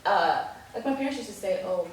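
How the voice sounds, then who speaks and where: A woman's voice: medium pitch, animated, brisk. A woman from the United States talking as a guest attorney, wry and laughing at times. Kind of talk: casual conversation. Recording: echoey room, distant mic, faint noise.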